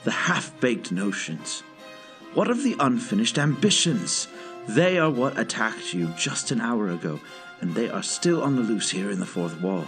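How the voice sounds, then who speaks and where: A man's voice: fairly low pitch, sing-song, a little slow, over music. An actor playing a stern, weary older male director in an audio drama, speaking formally and with grave authority. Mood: weary, solemn.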